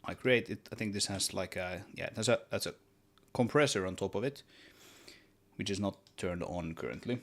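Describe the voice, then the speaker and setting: Low-voiced Swedish man chatting while working. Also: moderate pace. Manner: relaxed, rambling, repeats words while thinking aloud. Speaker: solo game developer streaming. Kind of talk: casual livestream chat while working.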